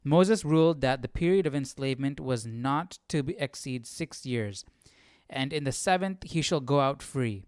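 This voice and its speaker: A man's voice: mid-range voice, animated, moderate pace, darkish tone, clear, resonant tone, dry recording, studio quality. A man hosting a Bible study livestream, reading aloud and commenting casually, often questioning and checking for agreement.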